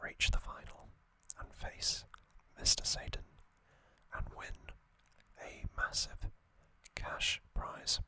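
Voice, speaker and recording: An ASMR host whispering a relaxed trivia recap, soft and unhurried, with hesitant fillers and small self-corrections. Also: poor audio. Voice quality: fully whispered, breathy.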